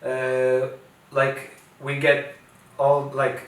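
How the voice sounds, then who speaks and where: A man's voice: mid-range voice, completely flat delivery, very slow, a bit bright, a little hiss or hum. A man in his twenties whose first language is Portuguese, an international student talking casually in an interview, hesitant with many fillers and restarts. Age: 20s. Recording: echoey room, distant mic, faint ambience.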